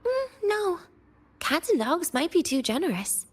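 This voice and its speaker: A woman voice acting a weary, teasing, guarded and cynical young woman patient in a video game, with a breathy, languid delivery that slips into near-whispers. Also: very high-pitched, big pitch swings, measured pace, exaggerated voice. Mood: resigned, bitter. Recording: music behind the voice. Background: background music.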